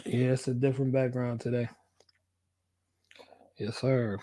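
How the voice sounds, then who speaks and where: An American man hosting a casual livestream, laid-back and slangy, repeating his phrases, with a voice hoarse from a cold he is getting over. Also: mid-range voice, little pitch movement, unhurried, dark tone, no echo. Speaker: livestream host, working nurse.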